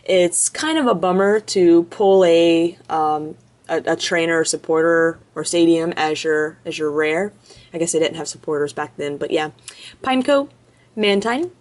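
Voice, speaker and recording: A woman's voice: on the low side, darkish tone. A woman casually chatting as she opens card packs, rattling off card names one after another from just off camera at an indoor table. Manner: chatty, lists card names quickly in a row. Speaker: card-opening video host. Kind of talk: casual commentary while opening card packs.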